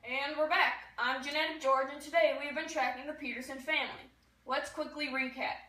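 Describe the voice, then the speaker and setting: A young woman presents a scripted educational show in an explanatory host's manner. Her voice is high and bright, and she sounds distant from the mic. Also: fairly even intonation, fairly quick. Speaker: puppet show performer playing a TV host. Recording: echoey room, distant mic.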